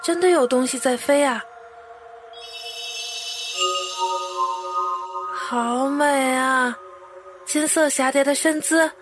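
Woman voicing an innocent little boy prince, high-pitched. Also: steady tone, unhurried, over loud music. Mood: wondering, dreamy. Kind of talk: Chinese audio drama acting.

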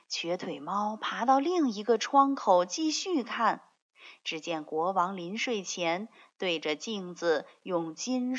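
A woman reading a scripted story for small children in an expressive, drawn-out way. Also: a little high, lo-fi sound.

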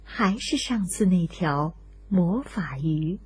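Husky woman telling children's stories. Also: fairly low pitch, lively intonation, takes it slowly, a little mellow. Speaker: children's story narrator and host. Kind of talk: scripted storytelling for children.

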